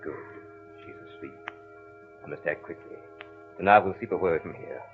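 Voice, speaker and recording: Sinister low-voiced man acted in old radio horror. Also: lightly acted. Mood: furtive, scheming. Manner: commanding, measured, theatrical. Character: sinister controlling man with hypnotic hold over a woman. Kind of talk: old-time radio horror drama acting. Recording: muffled scratchy old radio broadcast, faint music.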